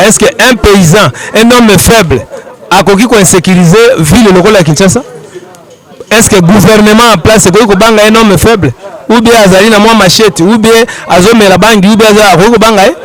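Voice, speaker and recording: Congolese male, high voice, argumentative political commentator who leans on rhetorical questions. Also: low-quality recording. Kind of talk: impassioned street political commentary.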